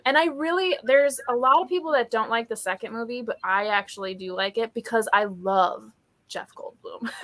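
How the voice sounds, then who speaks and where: A woman's voice: a little high, fairly bright tone. A woman in her thirties hosting a casual book live stream over a video call, chatting in an enthusiastic, informal way. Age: 30s. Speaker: host of a book readalong live show.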